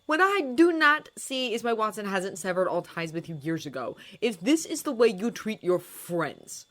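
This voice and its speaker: A woman's voice: high voice, big pitch swings, unhurried, voice pushed up. Woman doing a drawling, mocking male professor. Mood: spiteful.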